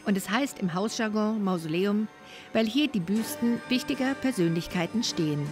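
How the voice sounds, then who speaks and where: A woman's voice: mid-range voice, moderate pace, over music. A German woman hosting an interview podcast in a room of an opera house, reading a formal welcome and then asking questions. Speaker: podcast host. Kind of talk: scripted intro then interview.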